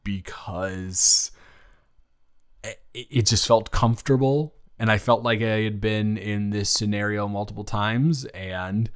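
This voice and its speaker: A man's voice: fairly low pitch, fairly even intonation, slow pace, smooth. A man playing a nervous, smitten young college athlete reunited with a childhood friend, rambling and stammering earnestly in an improvised romantic audio roleplay.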